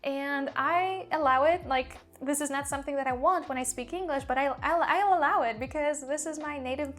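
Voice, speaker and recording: A young woman with a high voice and a light Polish accent talks straight to camera in a personal vlog. She speaks earnestly and leans on "like" as a filler, with faint music in the background. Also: fairly quick, a bit bright. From Poland. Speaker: language mentor.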